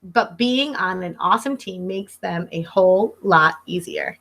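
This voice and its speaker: A woman's voice: on the low side, measured pace, vocal fry, fairly bright tone, a little hiss or hum. A woman voicing an easygoing, upbeat young male cat in a storybook, with reassuring enthusiasm. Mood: content.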